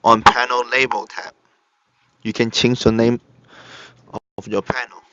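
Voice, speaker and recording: A man narrating a step-by-step software tutorial in short, halting instructional phrases. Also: medium pitch, little pitch movement, slight background noise. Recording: dull lo-fi computer recording. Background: screen-recorded tutorial voice-over.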